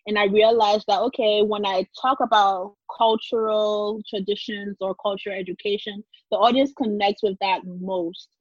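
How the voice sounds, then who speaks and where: A woman's voice: medium pitch, steady tone, normal speed, hardly any pauses, no echo. Young woman, dull lo-fi sound. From Liberia. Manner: talkative, laughs easily. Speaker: interview guest, culture and fashion blogger. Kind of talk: conversational interview storytelling. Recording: dull, lo-fi dry audio.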